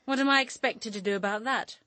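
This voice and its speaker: A woman's voice: on the high side, fast, pushed and bright. An actress with a British accent playing a bitter, demanding professional woman in a radio drama, pointed, insistent and sardonic. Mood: insistent, combative.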